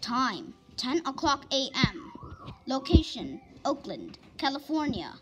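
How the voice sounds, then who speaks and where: A woman's voice: high-pitched, very animated, slow, very noisy. A woman announcer reading a scripted emergency weather warning in a formal bulletin manner.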